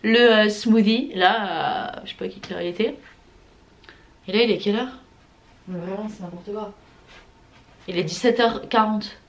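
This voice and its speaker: A woman's voice: mid-range voice, moderate pace, pauses often. A young woman chatting casually to camera in a slangy, emphatic way, dropping in English phrases. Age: young adult. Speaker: vlogger. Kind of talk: casual vlog chat to camera. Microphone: camera or phone held while vlogging. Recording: clearly noisy everyday vlog audio.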